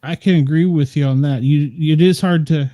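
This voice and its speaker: A chatty man in his fifties talks loosely, rambling and chuckling at his own remarks. His voice sits a touch high for a man yet sounds mellow and relaxed. The recording is dry and close. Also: normal speed, no room sound. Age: 50s. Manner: rambling, chatty, laughs at himself. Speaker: podcast co-host. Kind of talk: casual chat.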